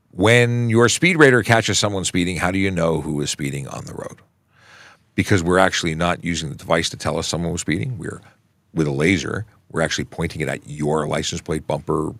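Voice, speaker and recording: A middle-aged male traffic cop from Canada hosts a relaxed livestream Q&A, answering viewer questions in a chatty, plain way. His voice sits very low with a gravelly edge. The close mic gives a dry, upfront sound. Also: no room sound. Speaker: traffic police officer hosting a livestream Q&A. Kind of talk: casual livestream Q&A. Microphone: close studio microphone.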